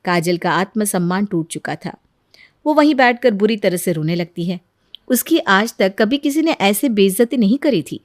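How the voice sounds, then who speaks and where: A woman's voice: mid-range voice, animated, normal speed, no echo, clean studio sound. A woman reading scripted narration for a moral family story.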